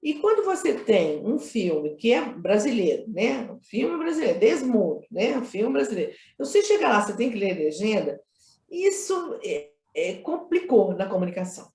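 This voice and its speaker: Roomy echoey recording, woman, medium pitch, conversational interviewee, emphatic repetitions, frequent tag questions. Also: sing-song, normal speed, warm tone. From Minas Gerais, Brazil. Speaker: film director being interviewed. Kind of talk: conversational interview, explaining creative choices.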